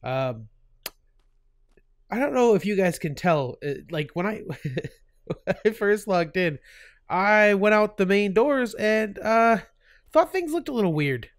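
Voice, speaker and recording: A man's voice: sits high, very animated, slow, long pauses, no room sound, clean studio sound. A man with a stuffy cold hosting his gaming channel, chatting casually over gameplay, laughing often and full of fillers and restarts.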